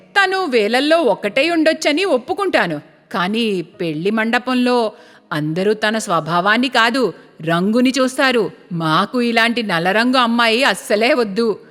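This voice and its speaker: Snooty disapproving lady voiced by a woman, cartoon, high pitched, echoing hall sound, blunt. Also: expressive, moderate pace, fairly bright tone, faint music behind. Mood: dismissive. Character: disapproving, judgmental woman rejecting a bride, cartoon.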